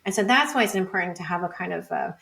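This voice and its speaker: A woman's voice: on the low side, lively intonation, fast talker, vocal fry, sharp tone. A woman speaking as a podcast guest and researcher in casual intellectual conversation, thinking aloud with plenty of "like" and "you know".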